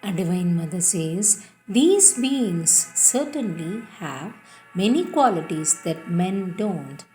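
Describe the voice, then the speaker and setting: A woman reading spiritual teachings aloud in a devotional style, deliberate and emphatic, repeating phrases for emphasis. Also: medium pitch, warm tone, poor audio. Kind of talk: devotional read-aloud with announced quotations.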